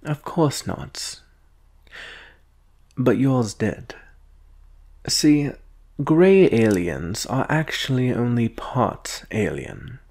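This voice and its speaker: A man playing a calm, condescending alien specialist from a secret agency in a measured, deliberate ASMR roleplay monologue. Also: medium pitch, vocal fry, full character voice. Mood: intent, explanatory. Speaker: roleplay performer.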